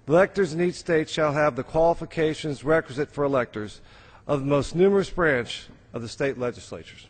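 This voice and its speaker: A man with a slightly high, rough voice reads a legal text in formal style. It rings through a reverberant hall. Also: fairly quick. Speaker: member of Congress. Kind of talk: formal reading aloud of a legal document. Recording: reverberant large legislative chamber.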